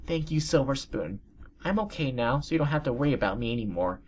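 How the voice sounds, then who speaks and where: A man voicing a small, timid pony colt in a high, soft childlike voice as he reads fan fiction aloud. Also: animated, slight background noise, close to an everyday voice. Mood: pleading. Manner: high soft childlike falsetto, slow and pleading.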